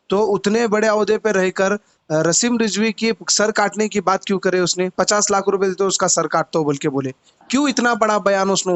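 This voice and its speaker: A man's voice: sits high, smooth, muffled recording. A man with a Hyderabadi accent, a party activist arguing his case insistently and repeating himself in a phone interview.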